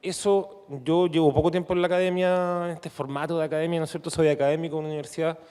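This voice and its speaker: A man with a Chilean accent speaks as an academic on a conference panel. His voice sits a little high and he gropes for words as he goes. The long reverb of a hall surrounds him. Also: level tone, darkish tone, clear, resonant tone. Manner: halting, searching for words. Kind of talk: unscripted conference panel speech.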